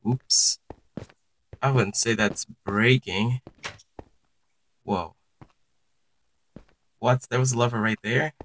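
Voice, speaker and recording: A man's voice: mid-range voice, slow, pauses often, a bit bright, rough recording. A young man giving casual gameplay commentary for his channel, chatting to viewers and reacting aloud as he plays. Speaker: gaming YouTuber.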